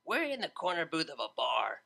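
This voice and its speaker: Male reader as a young dropout DJ mare, breezy and slangy, slightly high and gravelly. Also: wildly swooping pitch, brisk, bright, forceful tone, exaggerated voice. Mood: casual. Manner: casual, slangy, a bit self-mocking. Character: laid-back young female unicorn DJ, a blunt dropout. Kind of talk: fan audiobook character voice.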